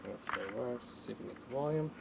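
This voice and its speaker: A man giving an unscripted hands-on tutorial, speaking haltingly as he thinks aloud while working, as a hobbyist would. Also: medium pitch, vocal fry. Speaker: hobbyist demonstrating an electronics repair. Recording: noticeable background noise.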